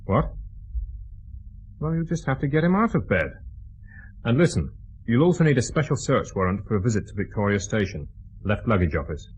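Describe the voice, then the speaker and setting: A British actor playing a brusque, dogged intelligence officer in a radio drama, clipped, commanding and wearily exasperated. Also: male voice, mid-range voice, lively intonation, a little fast, long pauses, slightly rough. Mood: businesslike.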